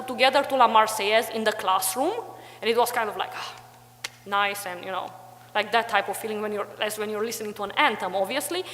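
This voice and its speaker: A woman's voice: a little high, fairly bright tone. Young woman, talks loose and chatty with lots of "like", long reverb, conference room. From Romania, Transylvania. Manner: chatty, many fillers like "like" and "you know". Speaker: conference panelist, history teacher. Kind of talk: conference talk.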